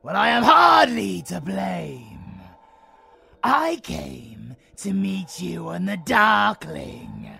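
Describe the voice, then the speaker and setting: Villain for audio drama: sadistic British monster, gravelly, wildly swinging pitch, theatrical and taunting. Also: male voice, very animated, bright, forceful tone, exaggerated voice. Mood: gleeful mocking. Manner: sing-song, taunting, savouring words slowly. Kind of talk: audio roleplay villain acting.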